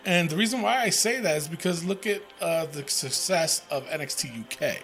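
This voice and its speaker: A man's voice: a little high, a little fast, a bit bright, faint music behind. A man hosting a casual wrestling podcast, rambling with lots of fillers and the odd swear word. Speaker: podcast host.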